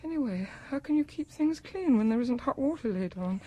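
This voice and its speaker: Radio drama: a faded, rambling exile, high, breathy, tearful. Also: female voice, expressive, a little fast, mellow. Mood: plaintive, fussy. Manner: gushing, rambling, swings from whisper to shrill cry. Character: faded, garrulous woman exiled from a sterile future city. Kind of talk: BBC radio drama acting.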